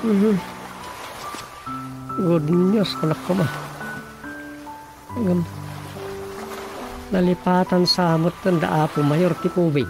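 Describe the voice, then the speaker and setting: A woman with an Ilocano accent playing a scheming, wisecracking village councillor with a creaky voice in a radio comedy. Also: on the low side, fairly even intonation, slow, long pauses, over music. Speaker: radio drama voice actor.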